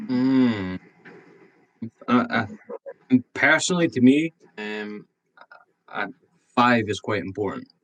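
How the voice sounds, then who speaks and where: A man's voice: medium pitch, lively intonation, slow pace, long pauses, a bit bright, dull audio. A man from Scotland with a Scottish accent, giving a casual, unscripted answer as a webinar panelist. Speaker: webinar panelist, youth sports worker.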